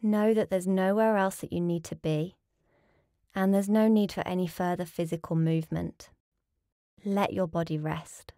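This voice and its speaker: Low-voiced woman guiding deep relaxation. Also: dry recording, studio quality. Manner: calm, soothing, measured instruction with pauses. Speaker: yoga nidra teacher. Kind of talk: guided relaxation meditation.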